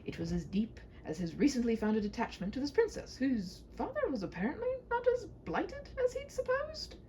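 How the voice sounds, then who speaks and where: A woman's voice: a little high, big pitch swings, moderate pace, warm tone, a little hiss or hum, poor audio. A woman reading a humorous story aloud as a storyteller, whimsical, with conversational asides.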